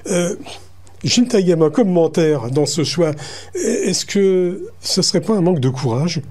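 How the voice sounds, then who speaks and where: A man hosting a radio interview, putting measured, partly read questions to his guest. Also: sits high, sing-song, a little mellow, breathy edge. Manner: measured, reading prepared lines. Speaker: radio host.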